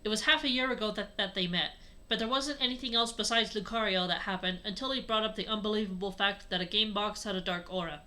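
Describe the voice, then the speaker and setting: A woman's voice: medium pitch, fast, few pauses, pushed and bright. A woman narrating a story aloud as a co-host, with casual asides. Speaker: co-host reading fan fiction aloud.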